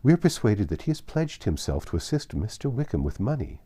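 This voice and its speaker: A man voicing a gentle, hopeful young woman in a storybook reading, earnest and reasoning. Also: on the low side, big pitch swings, fairly quick, slightly rough. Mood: gentle, reassuring.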